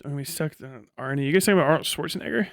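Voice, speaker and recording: Higher-pitched American man, blunt livestream chatter. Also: rapid-fire, vocal fry, no room sound, studio quality. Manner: profane, blunt, teasing. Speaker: live streamer and host reading chat. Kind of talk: casual livestream chat with joking rants.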